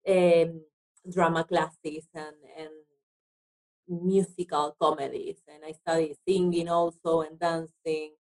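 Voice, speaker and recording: A woman's voice: mid-range voice, a little mellow, rough recording. A woman from Argentina with an Argentine accent and Spanish as her first language, speaking hesitant English and repeating words as an interview guest. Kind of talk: conversational interview.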